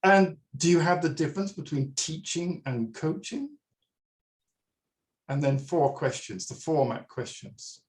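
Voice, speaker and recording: A man's voice: fairly high pitch, a little slow. A man in his sixties presenting a workshop over a video call, telling stories and asking his audience questions. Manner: animated, storytelling, asks the audience directly. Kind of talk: online workshop talk.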